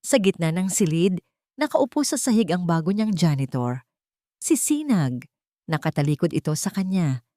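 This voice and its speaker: Low-pitched woman narrating dramatically. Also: dark tone, dry recording, studio quality. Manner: measured, dramatic storytelling. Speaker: narrator of a story channel. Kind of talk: dramatic scripted story narration.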